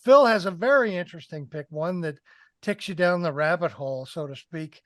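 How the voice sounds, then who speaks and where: A man hosting a casual panel on a video call, speaking in a halting, measured way. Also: a little high, dry recording, studio quality. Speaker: host, narrator of a review show.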